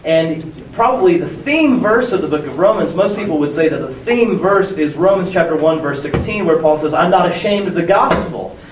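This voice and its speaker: Hoarse young male preacher, conversational, somewhat high voice, echoey room, distant mic, faint ambience. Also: animated, normal speed, hardly any pauses, noisy background. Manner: conversational, self-deprecating, many restarts. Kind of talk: sermon.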